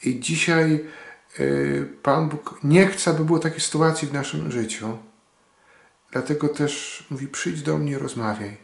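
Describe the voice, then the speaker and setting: A man in his forties, a priest, giving a short Sunday homily in slow, earnest speech with long pauses, filmed at a webcam in a small room. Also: fairly high pitch, fairly even intonation, vocal fry. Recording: echoey room.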